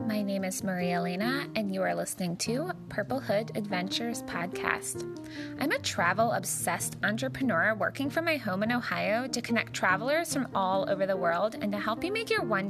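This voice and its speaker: Woman doing a scripted show intro, upbeat, music playing under her. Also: medium pitch. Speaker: podcast host.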